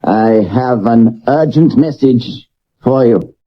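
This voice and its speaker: A man acting a mysterious, menacing stranger in a 1970s radio drama, deliberate and evasive. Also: mid-range voice, natural voice. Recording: dull lo-fi vintage radio recording.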